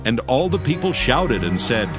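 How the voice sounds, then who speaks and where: A man narrating dramatized scripture as a solemn, measured storyteller. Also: mid-range voice, sing-song, music in the background.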